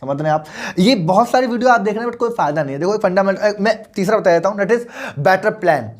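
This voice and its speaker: A man's voice: high-pitched, fast. Persuasive male finance coach. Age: 20s. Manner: persuasive, mixes English into Hindi, asks the listener questions. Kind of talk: motivational finance lesson.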